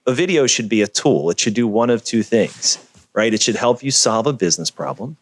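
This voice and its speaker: A man being interviewed over a video call, talking in an energetic, emphatic, storytelling way. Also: mid-range voice, brisk, no echo. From Philadelphia area. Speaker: guest, video producer.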